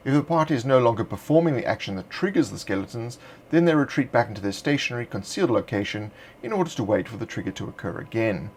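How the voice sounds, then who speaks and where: A man narrating a script in a measured, deliberate reading voice. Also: medium pitch, lively intonation, a little mellow, a little hiss or hum. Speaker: narrator of a game supplement.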